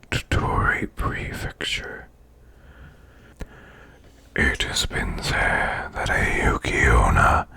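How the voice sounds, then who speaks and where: A very deep demon boss murmurs eerie folklore for a bedtime ASMR audio. The growl is heavily gravelly and breathy, close to a whisper, and each phrase comes slowly and deliberately, with long pauses. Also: male voice, sharp tone, heavily acted.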